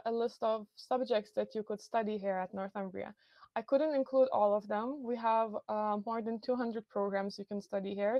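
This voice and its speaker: A woman's voice: a little high, a little mellow, no echo. A young woman from Lithuania with a Lithuanian accent, giving a university recruitment webinar in a friendly, informative way with many fillers. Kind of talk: webinar presentation. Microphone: computer mic on a video call.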